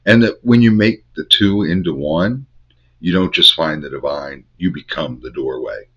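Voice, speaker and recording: An older man with a head cold, hosting a Bible study and teaching in measured, incantatory short phrases. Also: very deep voice, sharp tone. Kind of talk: spiritual teaching monologue. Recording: dull lo-fi recording.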